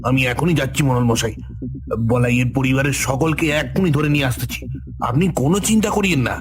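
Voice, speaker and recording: A man's voice: medium pitch, fast talker, natural voice. An officious guard from a cartoon village tale, voiced by a man. He has a mid-range male voice and serves his master obediently, with a bullying edge. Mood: obedient, eager. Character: menacing, obedient guard serving the village headman. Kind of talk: Bengali cartoon voice acting.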